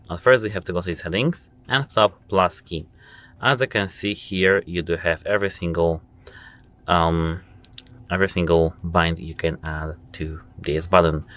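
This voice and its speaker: Deep-voiced man walking through phone tips. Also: a bit bright. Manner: explains step by step, repeats "as you can see". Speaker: tech tutorial host. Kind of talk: casual phone how-to walkthrough.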